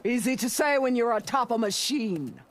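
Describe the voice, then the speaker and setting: A woman's voice: a little high, sing-song, normal speed, heavy background noise. A woman voicing a ruthless, vengeful rebel warlord in a video game, menacing and commanding. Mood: scornful.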